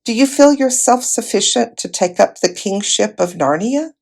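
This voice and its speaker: A woman voicing a great male lion king from a storybook, solemn, wise and kindly, in a measured, regal manner. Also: on the high side, animated, gravelly, a little mellow, voice pushed up.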